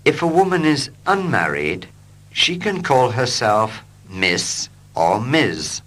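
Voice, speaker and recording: A man reading short English dialogues slowly and clearly for learners, line by line. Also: mid-range voice. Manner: slow, clear and expressive. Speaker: English teacher. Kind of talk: slow scripted reading for learners.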